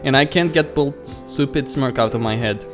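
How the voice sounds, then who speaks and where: Male let's play narrator, mid-pitched, story reading, casual asides, game music clearly behind. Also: normal speed. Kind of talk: dramatic reading of visual novel with casual asides.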